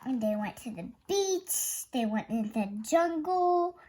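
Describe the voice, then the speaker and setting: High-pitched, slow young storyteller reading aloud. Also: expressive, dark tone. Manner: halting young reader, stumbles and self-corrects. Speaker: storyteller reading a children's picture book. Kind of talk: read-aloud story narration.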